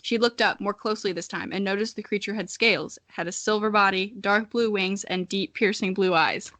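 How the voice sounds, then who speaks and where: A young woman podcast host with a bright, forward voice, recorded very dry and close. She narrates a childhood fantasy story with drama and slips into amused, self-mocking casual remarks. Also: mid-range voice, steady tone, brisk, no echo.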